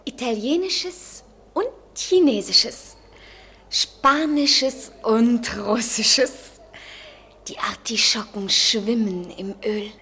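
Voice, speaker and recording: A woman's voice: on the high side, drawn-out words, bright, forceful tone, slightly rough, full character voice. A woman playing an eager, dreamy student in her twenties in a poetic, theatrical German radio play style. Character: Jennifer, eager, dreamy 23-year-old American student in love.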